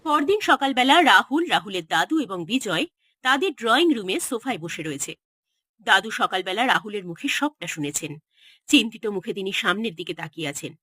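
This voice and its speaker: Expressive woman narrating a horror story. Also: mid-range voice, normal speed, breathy edge, no echo.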